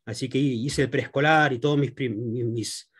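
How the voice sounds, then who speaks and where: A man who grew up in Argentina, a guest economist in an interview, speaking haltingly with many fillers and restarts. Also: mid-range voice, fairly even intonation, husky. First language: Spanish.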